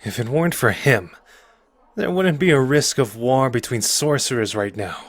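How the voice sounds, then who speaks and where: Man playing cocky anime sorcerer, mid-pitched male voice, sardonic, informal, protective, dry close roleplay audio. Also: animated, normal speed, no room sound. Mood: scornful. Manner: informal, sardonic, earnest underneath.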